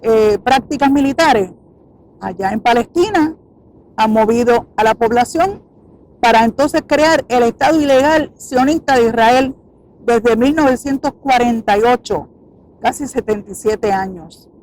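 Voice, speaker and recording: A woman's voice: a little high, measured pace, a little mellow, low-quality recording. A Puerto Rican woman who founded an activist group, giving an earnest, emphatic speech to the camera outdoors in front of a building. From Puerto Rico.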